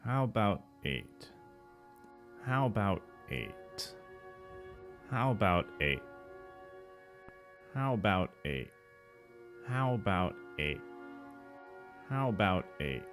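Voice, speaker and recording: A man voicing a gloomy, money-minded adult male cartoon character in a deadpan, unhurried delivery. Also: fairly low pitch, soft background music, exaggerated voice. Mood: downbeat, mildly interested. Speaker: voice actor. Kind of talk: animation voice-over takes, repeated lines.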